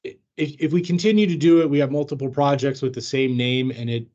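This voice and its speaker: A man with a mid-pitched voice leads an informal work training over an online call. He explains things haltingly and hedges as he goes. The audio is dull and lo-fi. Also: fairly even intonation, mellow. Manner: hesitant, halting, hedging. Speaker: trainer leading an internal staff training. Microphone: video call microphone.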